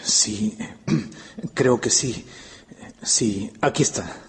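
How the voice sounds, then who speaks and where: A man's voice: medium pitch, drawn-out words. A man playing a lovestruck, anxious young historian in a radio drama, brooding, hesitant and intense. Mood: deferential, hesitant. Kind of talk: Spanish-language radio drama acting. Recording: radio play with faint music bed.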